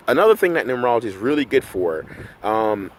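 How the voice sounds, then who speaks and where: A man's voice: medium pitch, sing-song, fairly quick, slight background noise. A middle-aged man from Barbados, a numerology coach, talking casually to camera outdoors in a park and often asking "right?". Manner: conversational, often tags "right?". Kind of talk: casual vlog monologue. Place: outdoors in a park by road works.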